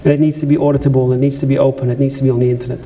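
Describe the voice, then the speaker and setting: A man's voice: mid-range voice, flat delivery, rapid-fire, dark tone, slight background noise. Dutch-accented man giving a conference talk. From Netherlands. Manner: conversational, with frequent restarts and asides to the audience. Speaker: conference speaker. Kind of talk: conference presentation. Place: lecture room at a conference.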